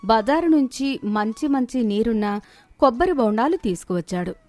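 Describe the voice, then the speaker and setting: A woman's voice: on the high side, expressive, normal speed, darkish tone. A woman narrating a scripted moral story as its storyteller.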